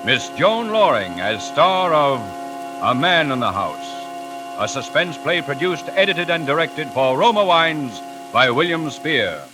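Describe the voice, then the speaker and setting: A man's voice: on the high side, sing-song, measured pace, music in the background. A man announcing a suspense play in the formal style of 1940s radio. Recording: vintage radio recording.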